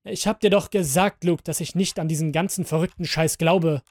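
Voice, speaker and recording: A man's voice: fairly high pitch, steady tone, normal speed, dry recording, studio quality, natural voice. A man voicing a breezy, dismissive middle-aged father who talks in a casual, chummy, persuasive way. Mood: dismissive, urging.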